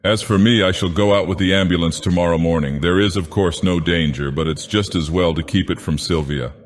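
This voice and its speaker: A man's voice: low-pitched, fairly even intonation, a little fast, lightly acted. A man reading the lines of a brooding young man who speaks curtly and grumbles. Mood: confiding. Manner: curt, grumbling. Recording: long reverb.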